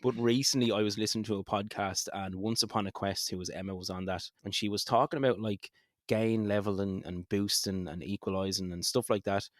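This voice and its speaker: A man's voice: fairly low pitch, steady tone, fairly quick, dry recording, clean studio sound. A man co-hosting a podcast in casual, chatty, agreeable conversation. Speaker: podcast co-host.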